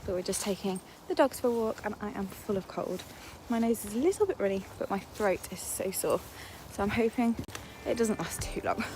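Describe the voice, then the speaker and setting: A woman's voice: mid-range voice, animated, a little fast, background noise. A young woman chatting candidly to camera in a casual diary-style vlog. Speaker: vlogger.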